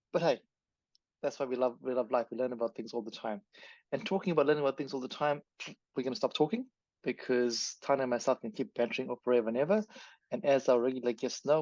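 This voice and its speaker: A man's voice: mid-range voice, a little mellow, dry recording. A man hosting a podcast, talking in a rambling, self-correcting way. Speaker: podcast host. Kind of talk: casual podcast chat. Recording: dry, dull lo-fi sound.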